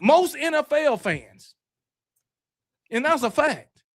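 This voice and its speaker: A man's voice: very high-pitched, very animated, slow pace. A man from Alabama with a Southern US accent, hosting a casual sports talk show in a blunt, emphatic, argumentative manner, often repeating himself. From Alabama, United States. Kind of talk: casual live sports debate.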